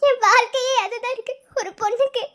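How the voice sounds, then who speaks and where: A woman acting as a distressed young student with an extremely high, childlike pitch. She pleads tearfully and earnestly, in the heightened style of melodramatic audio drama. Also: fairly even intonation, fast, a bit bright. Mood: indignant, pleading. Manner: tearful, imploring.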